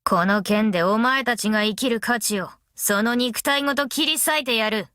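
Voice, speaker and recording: A woman voicing a brash, easygoing, long-lived female master metalsmith in an anime game, with rough, cocky, tomboyish speech. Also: a little high, normal speed, sharp tone, no room sound, clean studio sound. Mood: fierce, commanding. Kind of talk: anime mobile game character voice lines.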